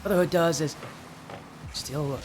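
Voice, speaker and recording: A young man doing solo play-by-play commentary for an esports match, sounding a little stuffy and yelling in high bursts at big plays. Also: moderate pace, slight background noise. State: slightly stuffy from allergies. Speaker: esports caster.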